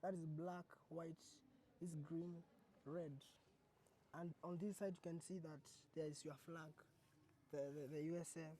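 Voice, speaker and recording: A teenage female student with a Kenyan accent, explaining earnestly and haltingly, often repeating words. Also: low-pitched, fairly even intonation, warm tone, slight background noise, low-quality recording. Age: teens. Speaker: secondary school student. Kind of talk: explanatory presentation.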